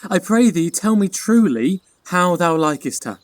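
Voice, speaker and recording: A man's voice: sits high, lively intonation, unhurried, dry recording. A man playing an eager, lovestruck young lord, speaking sincerely in a Shakespearean radio play. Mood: smitten, earnest.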